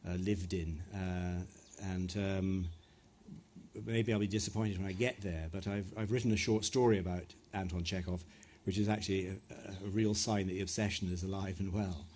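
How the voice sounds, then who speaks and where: A man's voice: deep voice, fairly even intonation, moderate pace, darkish tone, a little hiss or hum. A middle-aged man from West Africa, an author answering audience questions at a live talk in a thoughtful, hesitant way with many restarts. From West Africa (Ghana, Nigeria). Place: event venue before an audience.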